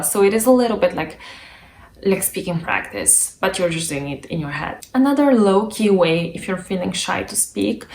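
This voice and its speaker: A young adult woman, a native Bulgarian speaker, giving an articulate instructional talk to camera as a language coach. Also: medium pitch. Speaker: language coach and video presenter. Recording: echoey room with faint background noise.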